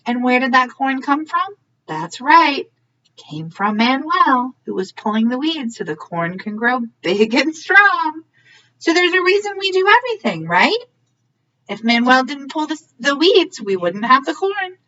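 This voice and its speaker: Animated, high-voiced woman reading to children. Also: measured pace, muffled recording, slight background noise. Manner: asks listeners questions, explains to young children. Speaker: early learning read-aloud host. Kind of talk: children's storybook read-aloud.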